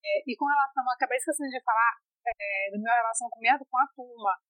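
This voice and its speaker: A chatty woman, telling her story in a relaxed interview. Her voice sits fairly high, and she keeps it lively with fillers and restarts. The recording is dry, with almost no room sound. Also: fast, no room sound, muffled recording. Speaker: interviewee, foreign master's student in France. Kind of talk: casual conversational interview.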